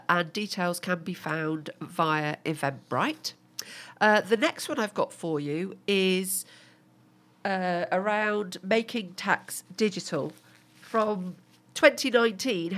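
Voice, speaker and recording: Woman with a cold, bunged up. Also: lowish voice. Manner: chatty, steady, reads event listings. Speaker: co-host. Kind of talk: casual business podcast chat.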